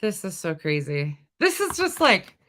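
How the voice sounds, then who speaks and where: A woman's voice: mid-range voice, unhurried. A middle-aged woman from North Dakota with a light North Dakota accent, hosting a casual livestream and talking bluntly with plenty of swearing. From North Dakota, USA. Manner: blunt, profane and animated. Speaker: livestream host. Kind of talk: casual livestream chat reading comments.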